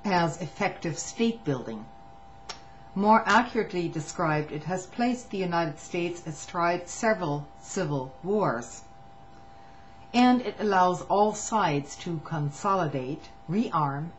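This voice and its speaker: A woman reading a written testimony aloud in a measured way, now and then stumbling and restarting a phrase. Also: on the low side. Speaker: reader of a public document. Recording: muffled lo-fi recording with faint hum.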